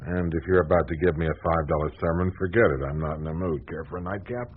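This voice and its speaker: An actor playing a world-weary, hard-drinking newspaperman in a radio drama, husky and wry. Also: low-pitched, fast talker, slight background noise, lightly acted. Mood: tipsy, wry. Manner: wry, sardonic. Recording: dull, lo-fi radio recording.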